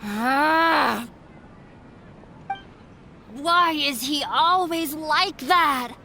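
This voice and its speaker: A woman playing a fiery, defiant young woman in an animated video game, forceful and accusing. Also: high voice, extremely slow, slight background noise, exaggerated voice. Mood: frustrated.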